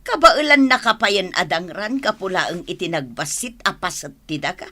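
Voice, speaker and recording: A woman's voice: fairly low pitch, expressive, moderate pace, pushed and bright. An actress with an Ilocano accent playing a stern aunt who scolds her quarreling nephews in a radio drama. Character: scolding aunt of two brothers.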